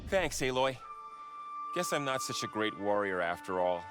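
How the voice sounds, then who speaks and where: An actor voicing an earnest, sheepish, bumbling would-be warrior in a comedic animated game. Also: male voice, on the low side, moderate pace, music in the background. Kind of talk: animated video game cutscene acting.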